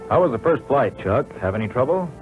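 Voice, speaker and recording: An actor playing a seasoned, avuncular American air force narrator in a 1940s training film, with a wry, folksy, knowing manner. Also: male voice, mid-range voice, big pitch swings, fairly quick, faint music behind, close to an everyday voice. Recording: tinny old film soundtrack.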